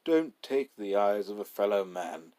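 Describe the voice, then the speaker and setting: A man's voice: fairly low pitch, sing-song, unhurried, warm tone. A man voicing the male traveler who is the hero of a short story, in an everyday voice. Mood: pleading. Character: frightened male traveler bargaining with a robber.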